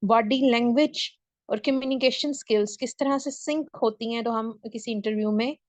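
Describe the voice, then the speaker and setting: A woman's voice: a little high, normal speed, dry recording. A woman teaching an English speaking class over a video call. She explains step by step and keeps checking that the students are following. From Pakistan. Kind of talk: online class lecture.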